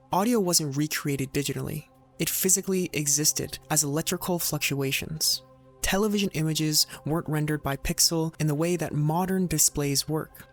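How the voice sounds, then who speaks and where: Higher-pitched man narrating over music. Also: a bit bright. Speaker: video narrator. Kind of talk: scripted documentary narration. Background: background music.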